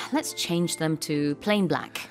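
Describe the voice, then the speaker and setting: A woman's voice: deep voice, takes it slowly, soft background music. A woman playing a bossy, exasperated interview coach in a comedy skit, giving firm instructions. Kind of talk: comedic language-lesson skit.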